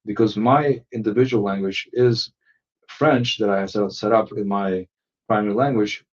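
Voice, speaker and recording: A man presenting a software webinar, explaining settings step by step with many fillers and restarts. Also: fairly low pitch, steady tone, normal speed. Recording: distant, muffled lo-fi audio.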